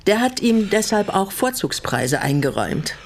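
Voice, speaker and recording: A woman's voice: medium pitch, big pitch swings, moderate pace, a little hiss or hum. An actress playing a resolute, bitter older woman in a German radio drama, speaking in a composed, pointed way. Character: resolute, bitter older widow receiving guests.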